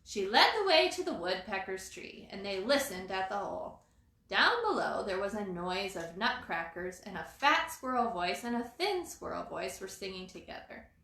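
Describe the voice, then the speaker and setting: A woman reading a children's storybook aloud as storyteller, in an expressive, dramatizing manner. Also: medium pitch, normal speed, creaky. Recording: roomy echo.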